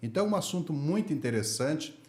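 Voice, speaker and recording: A man's voice: a little high, expressive, fairly quick, darkish tone. A Brazilian man hosting a podcast interview, chatty and friendly, laughing easily with his guest. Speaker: podcast host. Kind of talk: casual interview chat. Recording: echoey room.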